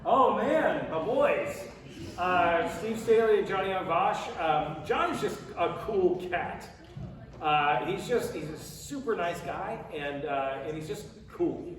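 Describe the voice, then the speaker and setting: A man's voice: high voice, animated, slow. A middle-aged American man talking casually and emphatically at a convention Q&A, in an echoing, noisy hall. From Colorado, United States. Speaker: voice actor, panel guest. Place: large indoor convention room with audience. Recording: very echoey noisy hall, distant microphone.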